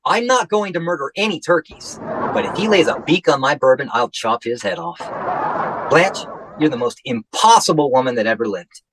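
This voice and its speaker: Male actor: cranky hollering husband, old-time radio comedy, lively pitch. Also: moderate pace, background noise, natural voice. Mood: grumbling. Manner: exasperated, complaining, hollering. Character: grumpy sleepy husband in hospital. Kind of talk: comic radio play acting.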